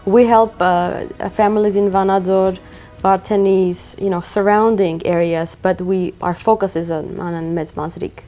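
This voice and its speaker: A woman's voice: medium pitch, steady tone, normal speed, hardly any pauses, music in the background. A woman charity organizer explaining her work in a matter-of-fact way, with frequent filler uhs. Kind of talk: conversational interview.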